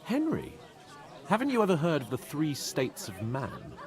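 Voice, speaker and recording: An actor playing a pompous, excitable young nobleman in a video game, theatrical and quick to indignation. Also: on the high side, some chatter behind. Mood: smug, lecturing. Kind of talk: dramatic video game dialogue.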